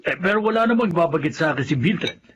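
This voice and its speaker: A man playing a middle-aged, compassionate father and household master, measured and questioning, in a Filipino radio-style melodrama. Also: fairly high pitch, animated, dull audio, natural voice.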